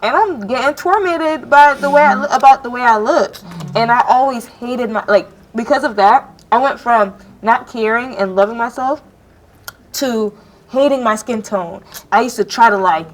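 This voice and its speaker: A young woman from Jamaica telling a personal story in a casual panel discussion, animated and acting out what others said. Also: moderate pace, poor audio. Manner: animated storytelling, acts out voices with big pitch jumps.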